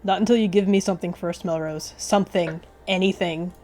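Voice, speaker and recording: A woman's voice: fairly low pitch, fairly even intonation, fairly quick, mellow, soft background music, close to an everyday voice. A woman voicing a wise, suspicious male deputy cat who presses tensely and hesitantly for answers. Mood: insistent. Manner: hesitant, trailing off.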